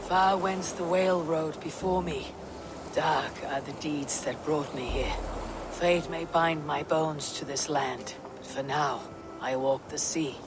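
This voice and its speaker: Slow, solemn Viking warrior woman. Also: deep voice, fairly even intonation, background noise. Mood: brooding. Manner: solemn, measured, deliberate. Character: stoic Viking woman warrior, proud and weary. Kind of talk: video game cutscene acting.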